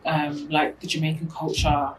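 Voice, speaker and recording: A woman's voice: sits low, monotone, moderate pace, far from the mic, low-quality recording. Young woman, podcast co-host, casual and chatty; off-mic sound with light hiss. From Britain. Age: young adult. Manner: chatty, often adds "do you know what I mean". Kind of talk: casual chat.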